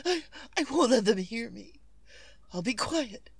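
An actress playing a terrified, desperate wife pleading breathlessly and haltingly in an old-time radio thriller. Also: female voice, fairly high pitch, animated, gravelly, slightly rough, no echo, full character voice. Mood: hushed fear. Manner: breathless, halting, repeating herself.

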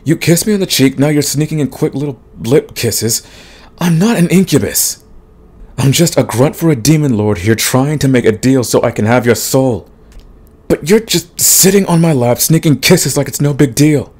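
A man playing a big, grumpy but soft himbo demon in a romantic comedy audio roleplay, husky and stammering in protest. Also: mid-range voice, lively intonation, normal speed. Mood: flustered, indignant. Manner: grumbling, sighing, stammering protests. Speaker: voice actor.

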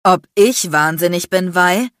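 An icy, domineering man in an audiobook drama, acted out by a woman. He is furious and sarcastic, hissing and barking threats in a lowish voice with a dry, close sound. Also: moderate pace, no echo. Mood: outraged, sarcastic. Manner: shouting, biting, threatening, crude. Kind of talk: dramatic audiobook character acting.

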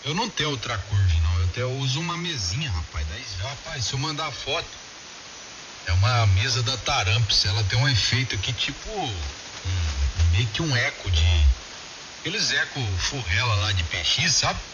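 Lively Brazilian man over hissy radio. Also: mid-range voice, normal speed, far from the mic. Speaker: amateur radio operator. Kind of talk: casual radio chat. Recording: dull radio audio with clear hiss.

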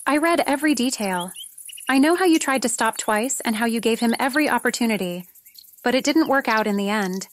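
A woman playing a calm, reassuring, kindly countryside widow in an acted fan fiction audio drama. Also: on the high side, a little fast, a little hiss or hum, lightly acted. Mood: gentle, serious.

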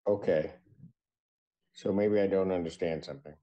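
An older man with an American accent presiding as a judge in a courtroom over a video call, speaking in a deliberate, measured way. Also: low-pitched, mellow, lo-fi sound. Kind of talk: formal courtroom proceedings.

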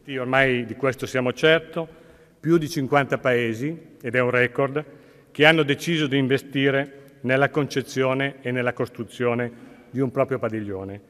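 A man's voice: mid-range voice, fairly even intonation, normal speed, a bit bright. A middle-aged man giving a plain, businesslike formal speech at a podium. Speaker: expo chief executive. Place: large ornate state hall. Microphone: podium microphones. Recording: long hall reverb.

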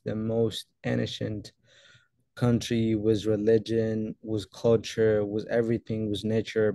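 A young man from Ethiopia, a guest on a video call interview, answering casually with many pauses and restarts. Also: fairly low pitch, mellow, dry recording. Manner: hesitant, many fillers and restarts. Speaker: guest photographer.

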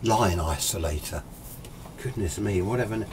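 An older British man in his sixties with a lowish voice talks off the cuff in a chatty, opinionated way. He rambles through an informal hobby tutorial, with clear noise behind him in a small workshop. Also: normal speed, a little mellow. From United Kingdom. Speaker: amateur radio hobbyist presenting a tutorial. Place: small indoor radio workshop.

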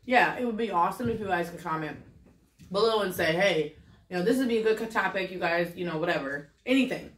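A woman co-hosting a casual eating-show chat, easygoing, quick with asides and laughs. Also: lowish voice, lively intonation, far from the mic. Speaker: co-host. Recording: echoey room, a bit off mic.

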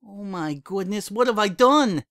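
A man voicing a heartbroken young female pony who speaks in a cold, flat tone that breaks into sobbing, in a dry recording. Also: high-pitched, moderate pace, no room sound, voice pushed up.

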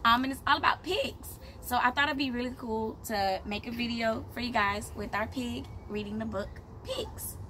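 A woman in her thirties reading a picture book aloud to young children as their teacher, in simple, deliberate phrases, recorded outdoors by a camera a short distance away. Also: a little high, sharp tone. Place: outdoors, on a patio beside plants. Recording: clear outdoor background noise.

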